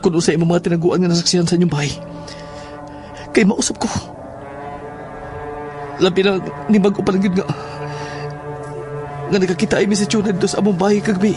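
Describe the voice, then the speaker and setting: A man's voice: high-pitched, fairly even intonation, a little fast, pauses often, close to an everyday voice. A man playing a serious, insistent schoolboy in an audio drama. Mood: earnest, insistent. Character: serious schoolboy eager to uncover family secrets. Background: music.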